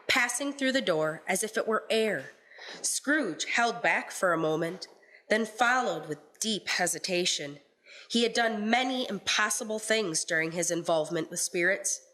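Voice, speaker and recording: A woman's voice: mid-range voice, a bit bright, low-quality recording. A woman giving scripted storytelling narration as the narrator of a radio play.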